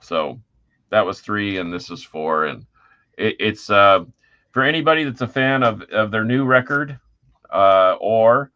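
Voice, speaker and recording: A man's voice: on the low side, lively intonation, measured pace, bright, forceful tone, highs cut off. A middle-aged man chatting casually and enthusiastically as a music podcast co-host, using informal slang.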